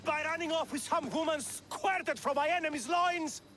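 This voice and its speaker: A man's voice: very high voice, moderate pace, sharp tone, soft background music. An actor playing an indignant, blustering noble father in a video game. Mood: contemptuous.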